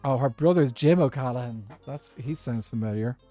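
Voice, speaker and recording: A man's voice: mid-range voice, normal speed, mellow, faint music behind. A man from Dublin with a posh Irish accent, streaming a block-building game in casual chat, thinking aloud and laughing often. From Dublin, Ireland.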